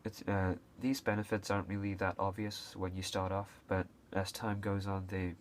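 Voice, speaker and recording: Young guy from hong kong, really low voice, lots of uhs and restarts, bit of faint hiss. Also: little pitch movement, normal speed. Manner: hesitant, many fillers and restarts, occasional coughs. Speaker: video creator. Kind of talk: casual unscripted talk to camera.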